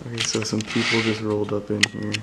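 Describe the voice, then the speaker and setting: A man's voice: lowish voice, monotone, quick pace. A young man filming an urban exploration vlog, chatting as he guesses what each bare room was, sometimes dropping his voice when nervous. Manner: chatty, guessing aloud at rooms, drops to hushed tones when nervous. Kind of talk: casual exploring commentary. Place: empty abandoned house, hard bare rooms. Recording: echoey empty rooms, loud noise.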